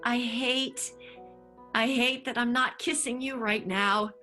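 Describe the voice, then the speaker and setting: A woman voicing a panicky, excitable scheming showman in an improvised radio play. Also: a little high, slow, soft background music. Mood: giddy.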